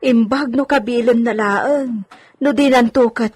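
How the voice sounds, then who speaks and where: A woman playing a concerned, outspoken housemaid and confidante in a radio drama, with a slightly husky voice. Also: fairly high pitch, a little slow, a little mellow. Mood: worried, gossiping. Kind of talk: Ilocano radio drama acting.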